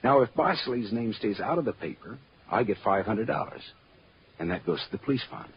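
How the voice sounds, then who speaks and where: A hardboiled American private detective with a low, wry delivery full of dry wisecracks. It is classic radio drama acting, on a muffled old recording with faint noise. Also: male voice, expressive, fairly quick. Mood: eager. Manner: sardonic, cool, dry.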